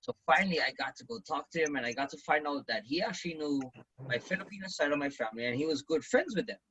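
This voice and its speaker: A man's voice: fairly high pitch, animated, fast talker, sharp tone, lo-fi sound, a little hiss or hum. A man in his forties, a business owner and event emcee, telling his story in a casual interview and acting out quoted lines in a raised voice. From Hawaii.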